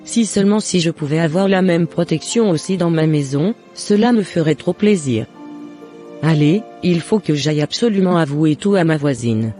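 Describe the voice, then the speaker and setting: Man voicing a high-pitched jealous neighbour woman. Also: normal speed, mellow, soft background music, close to an everyday voice. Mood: astonished, resigned. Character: jealous, scheming woman neighbour turned remorseful.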